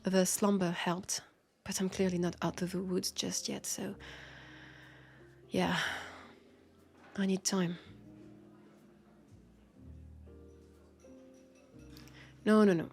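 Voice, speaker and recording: A woman's voice: lowish voice, little pitch movement, measured pace, gravelly, a little mellow. A woman with a French accent playing a guarded but friendly vampire police officer in an intimate audio drama, speaking hesitantly and wryly, as if confiding. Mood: uneasy, earnest. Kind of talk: roleplay audio drama, intimate one-sided conversation.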